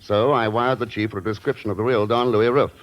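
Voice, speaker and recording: Man as tough male secret agent, low pitch, dry authoritative delivery, muffled vintage radio drama sound. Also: steady tone, fast, close to an everyday voice. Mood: cool, assured. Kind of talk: 1940s radio drama acting.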